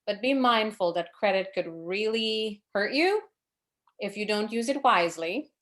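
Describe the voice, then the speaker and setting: A woman's voice: medium pitch, lively intonation, a little slow, a bit bright. Woman from Trinidad presenting a webinar. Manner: earnest, advising, with fillers and self-corrections. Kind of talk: financial education webinar.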